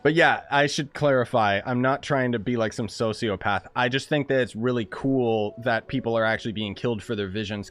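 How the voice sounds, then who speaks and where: A young man giving casual, excitable live commentary on a video game, reacting aloud in an informal way. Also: medium pitch. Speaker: game streamer.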